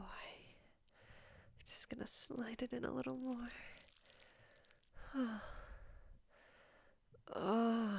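A woman playing a doting, dominant lover in an intimate audio roleplay, with a breathy voice and a coaxing manner. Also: a little high, darkish tone, dry recording, exaggerated voice. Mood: soft, encouraging. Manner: slow, coaxing, often whispered.

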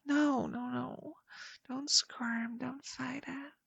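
A woman speaking in a soft, coaxing whisper for an intimate audio roleplay, close to the mic. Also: high voice, steady tone, very slow, gravelly, lo-fi sound, noisy background.

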